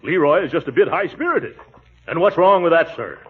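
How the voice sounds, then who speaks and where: An actor playing a pompous, chuckling middle-aged uncle who schemes sheepishly, in a 1940s radio sitcom style. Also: male voice, a little high, lively intonation, fairly quick, husky. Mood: blustering, self-important. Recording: tinny, hissy 1940s radio broadcast.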